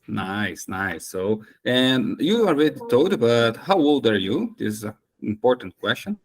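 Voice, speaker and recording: A man's voice: medium pitch, sing-song, measured pace. A man in his thirties with a Brazilian accent, hosting a casual conversational interview and often rephrasing himself. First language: Portuguese. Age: 30s. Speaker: host.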